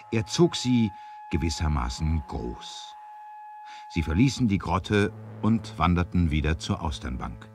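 Very deep, animated male storyteller. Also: a little hiss or hum. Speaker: narrator. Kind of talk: dramatic scripted storytelling, 1970s radio play.

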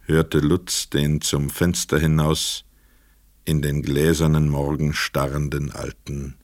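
A male narrator reads literary prose for a radio drama. His voice is low and he takes his time with each phrase. The sound is close and dry. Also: little pitch movement, slow, no echo.

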